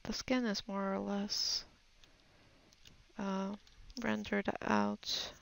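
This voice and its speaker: Slow, creaky-voiced woman thinking aloud. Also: mid-range voice, level tone. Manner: hesitant, musing, thinking aloud. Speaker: digital artist giving a tutorial. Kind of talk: unscripted voice-over of a painting process.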